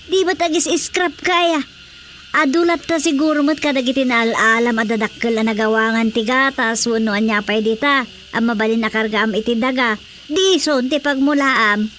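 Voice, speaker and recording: A woman's voice: high voice, normal speed, a bit bright, slight background noise. An actress with an Ilocano accent playing a chatty, teasing village woman who loves gardening in a radio drama comedy. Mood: chatty, encouraging.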